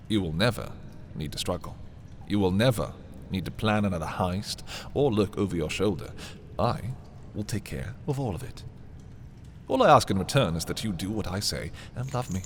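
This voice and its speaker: A man playing an arrogant, possessive young prince in a dark fantasy audio roleplay, haughty and theatrical in his delivery. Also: low-pitched, normal speed, slight background noise, close to an everyday voice. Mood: persuasive, intense. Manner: haughty, dramatic, swinging from hushed to exclaiming. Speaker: voice performer. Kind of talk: dramatic roleplay audio, ASMR fantasy monologue.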